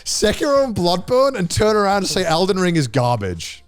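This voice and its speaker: A man's voice: high voice, huge pitch swings, normal speed, faint music behind. A man co-hosting a podcast, giving blunt, emphatic opinions in casual chat with some swearing. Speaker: podcast co-host.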